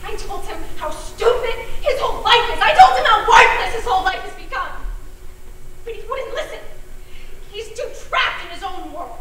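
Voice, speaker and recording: Very high and sharp, this is a bitter, despairing teenage girl acted by a young woman in a stage play. Her delivery is theatrical and sarcastic, heard from far off in a very echoey room. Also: expressive, normal speed. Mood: scornful, agitated. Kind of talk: one-act stage play monologue and dialogue. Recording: echoey stage recording from far off, faint noise.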